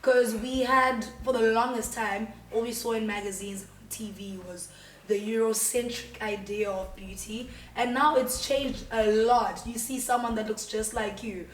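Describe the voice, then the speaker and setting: A woman's voice: fairly high pitch, steady tone, measured pace, slight background noise. A bubbly woman in her twenties with a South African accent, chatting as an interview guest and laughing often. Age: 20s. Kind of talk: casual interview chat. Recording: echoey room, mic at a distance.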